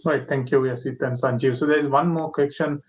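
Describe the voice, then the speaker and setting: A man hosts an online session, pausing often and filling gaps with "uh" and "like". His pitch sits in the middle range for a man. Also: fairly even intonation, slightly rough, lo-fi sound. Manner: hesitant, many fillers. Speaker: host. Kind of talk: webinar moderation.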